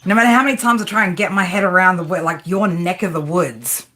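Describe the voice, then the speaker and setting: A woman's voice: lowish voice, fast, sharp tone. A woman with an Australian accent, chatting casually and playfully on a live stream while she does a tarot reading and answers viewers' comments. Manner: chatty, playful, slangy, reads chat comments aloud. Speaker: tarot reader.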